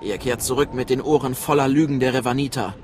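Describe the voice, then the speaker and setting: A man's voice: medium pitch, quick pace, a little mellow, a little hiss or hum, natural voice. Shrewd, scheming villainous man voiced by an actor. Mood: sardonic, probing. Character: shrewd, scheming adult male Sith agent. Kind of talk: dramatic game voice acting.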